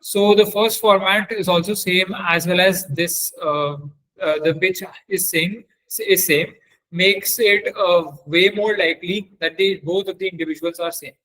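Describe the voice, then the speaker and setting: A man presenting a technical webinar as a trainer, explaining haltingly and often repeating and correcting himself. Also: sits high, steady tone, sharp tone, rough recording. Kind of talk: technical lecture with screen demonstration.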